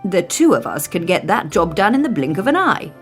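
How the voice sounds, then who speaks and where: A woman's voice: fairly low pitch, very animated, fairly quick, soft background music. A woman voicing a courteous, eager young prince in a children's fairytale, with a courtly, friendly manner. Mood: gallant. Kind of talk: children's storybook character voice.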